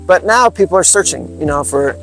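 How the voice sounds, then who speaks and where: An American man from Montana, a guest in an interview, explaining things earnestly and telling stories in a relaxed conversational way. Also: a little high, a little fast, creaky, faint music behind, low-quality recording. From Montana, USA. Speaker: interview guest, speaking club founder.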